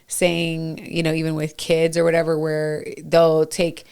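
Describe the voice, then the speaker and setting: A woman's voice: deep voice, completely flat delivery, measured pace, creaky, clear, resonant tone. A woman co-hosting a casual podcast, reflective and often agreeing with short murmurs. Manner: reflective, agreeing with quiet backchannels. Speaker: podcast co-host.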